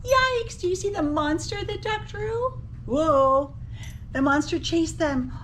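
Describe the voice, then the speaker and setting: Woman, slow expressive children's read-aloud, lively swooping delivery, slightly distant mic, faint noise. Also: very high-pitched. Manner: expressive, pausing to ask young listeners questions. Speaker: teacher reading a picture book aloud.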